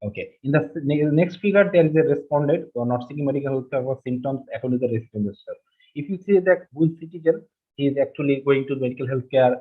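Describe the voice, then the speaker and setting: A man from Bangladesh whose first language is Bengali, presenting slides in a webinar, halting with many fillers and restarts. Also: medium pitch. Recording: husky, phone-like online call audio.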